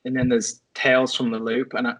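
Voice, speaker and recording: A man's voice: mid-range voice, completely flat delivery, a little fast, a bit bright, poor audio. A man chatting casually as a guest on a podcast. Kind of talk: casual chat.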